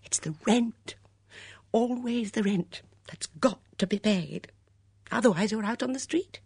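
A fluttery, anxious elderly spinster, as a woman reads her part, gushing in a breathy, half-whispered voice that climbs higher than the narration. Her rushed phrases swoop up and down. It is a storybook voice, close and dry. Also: huge pitch swings, a little mellow, no echo, full character voice. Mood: bitter, agitated. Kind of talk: audiobook character voice.

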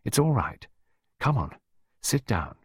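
Man playing an urgent, protective stepmother, raised pitch. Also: sing-song, normal speed, husky, no echo, studio quality. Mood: soothing. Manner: urgent, coaxing, insistent. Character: anxious, commanding, protective English stepmother, soothing yet urgent.

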